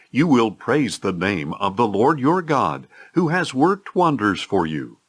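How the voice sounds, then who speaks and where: A man reading scripture as a solemn audio Bible narrator, with a measured, declamatory delivery. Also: medium pitch, a bit bright.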